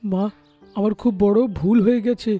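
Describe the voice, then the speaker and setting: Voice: mid-range voice, fairly even intonation, normal speed, warm tone, soft background music, lightly acted. An actor voicing a hot-headed young male parrot, petulant and emphatic, in a cartoon. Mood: remorseful.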